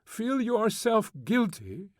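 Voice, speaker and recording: A man's voice: sing-song, takes it slowly, no echo, clean studio sound, heavily acted. A male reader voicing a bitter young woman convict who is drunk, furious and mocking. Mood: scornful. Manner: scornful, mocking, shrill.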